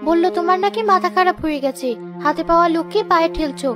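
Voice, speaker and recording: A woman's voice: very high voice, quick pace, over music. A woman voicing a weary, worried wife in a cartoon folk tale, reproachful and plaintive. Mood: distressed, plaintive. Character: weary, worried wife of a poor honest porter. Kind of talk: animated folk-tale dialogue.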